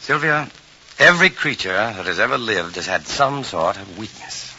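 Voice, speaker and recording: Scientist hero for vintage radio drama: lowish, animated, practical, steady and emphatic, on a dull noisy old recording. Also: male voice, moderate pace, exaggerated voice. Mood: tender, reassuring. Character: male scientist, calm, reassuring, brave and practical. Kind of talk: 1950s radio drama acting.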